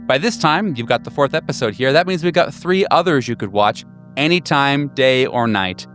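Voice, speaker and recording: A man's voice: medium pitch, expressive, moderate pace, a bit bright, soft background music. A man hosting and narrating a horror tabletop roleplaying game as game master.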